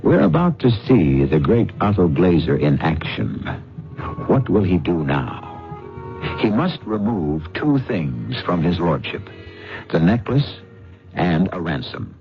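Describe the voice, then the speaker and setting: A deep-voiced man narrates with wry, theatrical flair and lively swings in pitch. He hosts a radio mystery, with clear music behind him on a muffled old broadcast. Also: slow pace. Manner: theatrical, knowing, addresses listeners as friends. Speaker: radio drama host and narrator. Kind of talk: dramatic, wry scripted narration.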